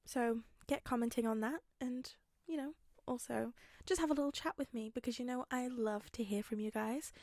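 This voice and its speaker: A woman's voice: on the high side, moderate pace, few pauses, a little mellow, no echo, clean studio sound. A woman reading fiction aloud and chatting casually to listeners as the host of her channel. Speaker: podfic reader and channel host. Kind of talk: expressive fiction narration and casual chat to listeners.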